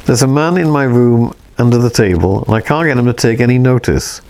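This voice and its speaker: A man's voice: medium pitch, a little fast, darkish tone, lightly acted. A man reading aloud and voicing a polite young male office clerk who speaks with mild, understated manners. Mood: uneasy.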